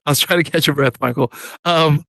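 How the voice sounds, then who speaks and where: A man's voice: fairly high pitch, fairly quick, vocal fry, a bit bright, no echo. A man hosting a casual podcast, rambling with many fillers. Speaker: podcast host.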